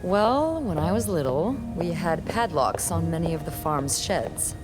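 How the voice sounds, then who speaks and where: An actress playing a wry, warm, teasing young hotel receptionist in a video game, with an expressive, conspiratorial way of talking. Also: female voice, lowish voice, unhurried, loud music behind the voice, low-quality recording. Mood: relaxed, wry. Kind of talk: naturalistic video game acting.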